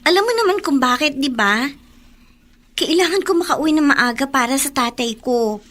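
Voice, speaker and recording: An actress doing a dutiful teenage daughter with a high voice, earnest and insistent. She speaks in a radio-drama style, devoted to her parents and careful with money. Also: animated, moderate pace, a bit bright. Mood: firm.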